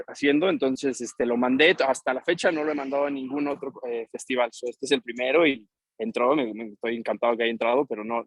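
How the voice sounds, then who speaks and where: Male student director, halting answer, mid voice, dry sound. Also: mid-range voice, no echo. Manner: halting, stumbling restarts. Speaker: student filmmaker. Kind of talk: panel answer on a video call.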